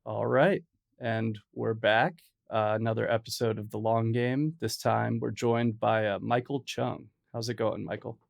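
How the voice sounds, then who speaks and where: A man hosting a podcast interview, chatting in a relaxed, casual way with plenty of filler words. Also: fairly low pitch, a little slow, creaky. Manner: laid-back, casual, lots of "like" and "um". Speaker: podcast host.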